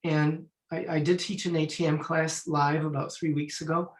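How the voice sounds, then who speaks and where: A man in his sixties, a Feldenkrais teacher and musician, chatting in an online panel, telling stories and clearing his throat now and then. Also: fairly high pitch, flat delivery, normal speed, echoey room. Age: 60s. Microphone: video call.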